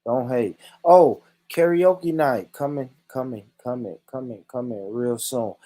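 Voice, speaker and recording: A man's voice: mid-range voice, measured pace, warm tone. A man hosting a vlog, chatting loosely. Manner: laid-back, addressing viewers. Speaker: vlogger host. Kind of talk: casual vlog chat.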